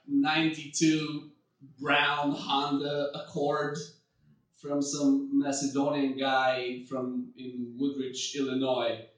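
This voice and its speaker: A man telling a comic story on stage, deadpan and wandering, interrupting himself as he goes. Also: on the high side. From Bulgaria. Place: stage at a live show. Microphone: phone recording from the audience. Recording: distant echoey phone recording.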